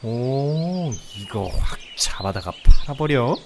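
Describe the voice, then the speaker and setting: A man playing a greedy, scheming woodcutter in a comedic audio fairy tale, with a gruff, blunt, muttering delivery. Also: mid-range voice, very animated, slow pace, background noise. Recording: outdoor nature ambience, birdsong behind.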